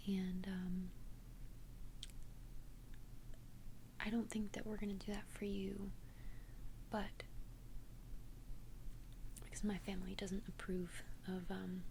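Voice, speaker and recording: A woman's voice: mid-range voice, a little mellow, slight background noise, close to an everyday voice, poor audio. Woman as a whispering young vampire confidante. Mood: tender, confiding. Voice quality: breathy unvoiced whisper. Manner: hesitant, halting, earnest confession. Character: immortal young female vampire confiding tenderly in a best friend. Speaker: ASMR roleplay performer. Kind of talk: whispered ASMR roleplay.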